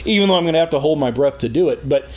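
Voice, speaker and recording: Casting: booming male co-host, sarcastic deadpan humor, slightly high pitch, studio. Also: rapid-fire. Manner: loud, sarcastic, deadpan jokes. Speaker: co-host, political commentator. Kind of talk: casual chat. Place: recording studio.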